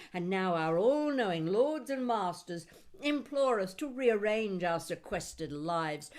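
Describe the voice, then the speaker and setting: A woman reading her own poetry aloud in an expressive spoken-word style, with deliberate, dramatic phrasing and wry humour. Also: mid-range voice. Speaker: poet reading own work.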